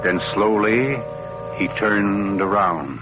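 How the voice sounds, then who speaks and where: A brooding author telling a suspense story with measured, dramatic dread. It is old-time radio narration, with music playing clearly behind a slightly muffled voice. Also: male voice, lowish voice. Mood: ominous. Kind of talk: 1940s radio thriller narration. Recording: dull old radio broadcast recording.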